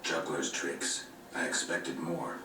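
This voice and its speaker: A gruff cynical monster hunter, super deep voice, curt and dismissive, game audio far off and roomy. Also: male voice, very animated, slow pace, full character voice. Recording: game audio from far off in an echoey room, with music.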